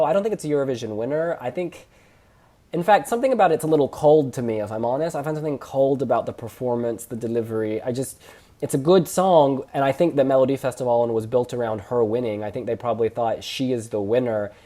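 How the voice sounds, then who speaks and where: A man hosting a casual review chat, sharing opinions in an expressive way with emphatic phrasing. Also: medium pitch. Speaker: host reviewing song contest entries.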